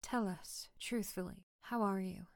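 A woman's voice: medium pitch, fairly even intonation, measured pace, no echo, close to an everyday voice. A woman playing a young TV interviewer and supportive friend in an audio drama, composed and caring. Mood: sympathetic. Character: sympathetic, upbeat young female TV interviewer and close friend.

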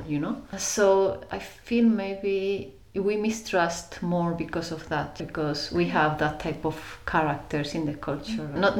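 Low-voiced Spanish woman in an echoey room. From Spain. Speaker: interview guest. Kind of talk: reflective personal storytelling in conversation. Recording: roomy echo around the voice.

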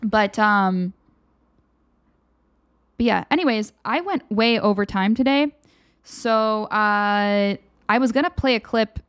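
A woman's voice: medium pitch, slow pace, pauses often, smooth. A woman hosting her own live show, chatting casually and candidly with viewers and handing out frank advice. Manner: candid, advice-giving, loose and conversational. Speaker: host of a livestream show.

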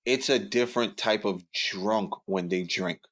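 A man's voice: on the low side, measured pace, a bit bright, husky. A young man, telling stories bluntly and building up jokes on a podcast. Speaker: podcast co-host. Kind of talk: casual storytelling chat.

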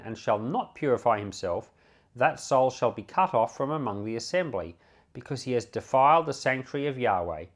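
A man speaking as a pastor, reading and explaining scripture in measured, emphatic phrases. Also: medium pitch. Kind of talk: Bible reading with devotional commentary.

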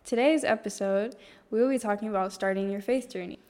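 Teen woman podcast co-host, chatty and self-correcting, mid-pitch; reverberant recording. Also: brisk, dark tone. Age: teens. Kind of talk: casual faith chat. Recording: room with long reverb.

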